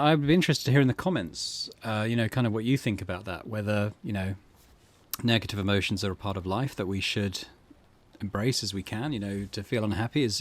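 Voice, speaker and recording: A man hosting a gaming channel, giving conversational, musing let's play commentary and reading game text aloud. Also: on the low side.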